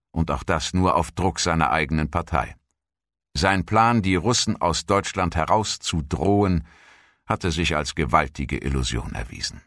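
Man, low voice, scripted history narration, formal measured delivery, dry sound. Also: fairly bright tone, no echo. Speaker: documentary narrator.

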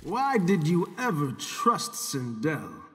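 A man playing a haughty, scornful warrior demigod in a fighting game, pompous and deliberate. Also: fairly high pitch, huge pitch swings, husky, full character voice. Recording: loud noisy background.

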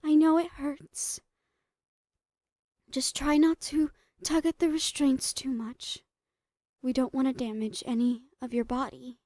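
A woman's voice: sits high, level tone, unhurried, dark tone, no room sound, studio quality. A woman playing a psychotic female doctor who dotes obsessively on a captive, cooing and slipping into whispers in a horror roleplay. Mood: creepily soothing.

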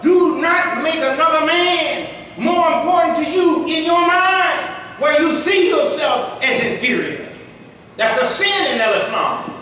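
A middle-aged man, an imam, giving a Friday sermon at a mosque in a conversational, joking way that builds to emphatic shouts. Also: extremely high pitch, slight background noise. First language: English. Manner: conversational preaching, jokes and laughs, rises to emphatic shouts. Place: mosque room with tiled walls. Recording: very echoey hall, taken from a distance.

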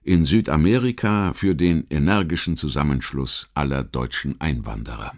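A man reading a scripted history documentary as an audiobook narrator, in a measured, literary delivery with deliberate phrasing. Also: rumbling low.

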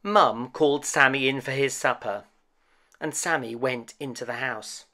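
A man narrating a children's storybook as host, with gentle, deliberate, expressive phrasing. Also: mid-range voice.

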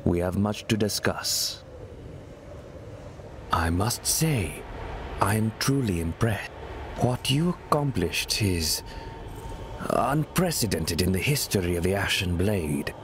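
Hooded mentor for fantasy game: knowing, formal, very measured, low register. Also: male voice, expressive. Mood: impressed, measured. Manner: formal, deliberate. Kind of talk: dramatic fantasy game dialogue. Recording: noisy ambient game soundscape.

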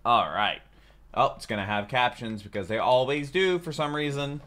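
A man's voice: medium pitch, normal speed, a bit bright. A man, giving chatty, informal reaction commentary. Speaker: reaction video host. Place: small home room, indoors. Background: faint music.

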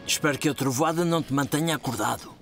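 A man's voice: fairly high pitch, fast talker, over loud music, natural voice. A man playing a kindly, playful older grandfather in a children's cartoon, with a European Portuguese accent. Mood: affectionate.

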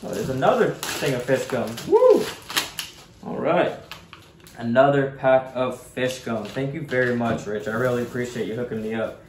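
A man's voice: medium pitch, animated, moderate pace. A young man, a fishing guide, chatting easily to his viewers as he unboxes gear at his desk in a small home office. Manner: easygoing, chatty, addresses viewers as guys. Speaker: surf fishing guide and channel host. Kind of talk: casual unboxing vlog talk. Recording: echoey room with faint hiss.

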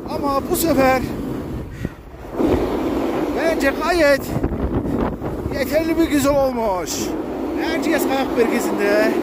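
Very high-pitched man vlogging outdoors. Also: very noisy. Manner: active, talking while snowboarding. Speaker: vlogger presenting a ski slope. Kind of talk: casual vlog commentary to viewers and companions. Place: outdoors on a steep ski slope.

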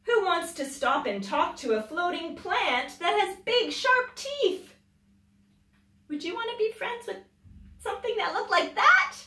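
A woman's voice: very high-pitched, big pitch swings, a little slow, sharp tone. A middle-aged woman reading a picture book aloud to children in a dramatic, emphatic way, drawing out words. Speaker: children's storytime host, teacher. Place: small indoor library room with tiled floor. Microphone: camera a few metres away. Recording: roomy echo, distant microphone.

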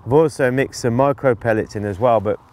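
A middle-aged man giving relaxed fishing instruction outdoors, in unhurried, halting phrases as he works. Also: medium pitch. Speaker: angling instructor, presenter. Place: outdoors on an open lakeside bank.

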